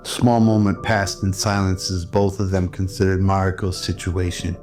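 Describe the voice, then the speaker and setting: A man's voice: low-pitched, flat delivery, normal speed. A man reading scripted narration for an audio drama. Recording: music under the voice. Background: music.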